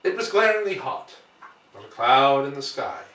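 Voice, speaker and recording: A man reading a classic novel aloud as an audiobook narrator, with measured, deliberate phrasing. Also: medium pitch, big pitch swings, sharp tone. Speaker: audiobook reader. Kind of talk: dramatic literary narration. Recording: roomy, distant microphone.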